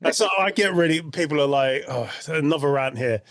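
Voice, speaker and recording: A man's voice: on the high side, fairly quick, no room sound, clean studio sound. A British man speaking casually and informally as a podcast co-host, freely giving his opinions. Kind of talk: casual chat.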